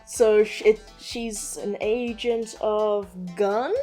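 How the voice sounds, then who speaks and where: A woman's voice: mid-range voice, little pitch movement, slow pace, warm tone. A woman chatting casually and enthusiastically, swearing freely as she hosts a quiz and explains game lore. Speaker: host quizzing a partner on game characters. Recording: background music under the voice.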